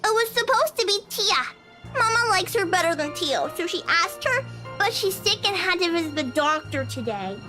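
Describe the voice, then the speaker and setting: A woman's voice: extremely high pitch, sing-song, normal speed. A woman voicing a cheerful, chatty little schoolgirl in a visual novel game, childlike and bubbly. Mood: chatty, innocent. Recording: game music behind the voice.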